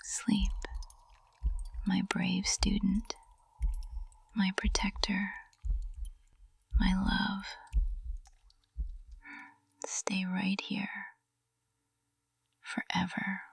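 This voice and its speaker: Witch teacher played by a woman, ASMR roleplay: adoring and possessive, hushed breathy whisper with heavy crackle, unhurried, intimate. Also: mid-range voice, completely flat delivery, no room sound, full character voice. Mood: adoring, lulling. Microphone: close ASMR microphone.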